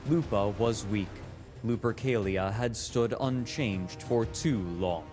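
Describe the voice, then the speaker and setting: A man narrating a mythic visual novel in a grave, deliberate, scripted style. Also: fairly low pitch, a little mellow, faint music behind. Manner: solemn, deliberate. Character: grave male narrator of myth and history.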